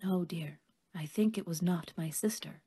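A woman playing a kindly, worried older woman who believes in fairies, gentle and motherly in an audio drama. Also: lowish voice, moderate pace, husky. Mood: grave, haunted.

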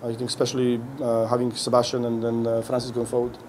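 Halting middle-aged coach at a podium. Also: male voice, mid-range voice, completely flat delivery, darkish tone, slight background noise. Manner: halting, many restarts while searching for words. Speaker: head coach. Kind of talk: post-game press conference answers. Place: indoor press room. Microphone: podium mic on a stand.

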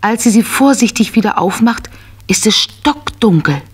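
A woman narrating a scripted children's audio story in an expressive storytelling manner. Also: on the high side, normal speed, slightly rough.

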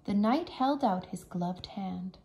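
A woman teacher narrating a children's story aloud in a careful, expressive storytelling manner. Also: mid-range voice, slow. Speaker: English teacher reading aloud.

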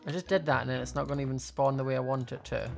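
A woman's voice: extremely low pitch, steady tone, very fast, gravelly, darkish tone, a little hiss or hum. A young woman giving casual, playful gameplay commentary, thinking aloud as she hosts a gaming video. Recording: faint music behind voice. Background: faint music.

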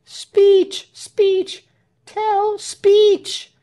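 Shrieking, excitable villager in a cheering crowd. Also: male voice, very high-pitched, drawn-out words, pitched above the performer's own voice, full character voice. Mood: clamouring, excited. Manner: shrieking, shouted short cries.

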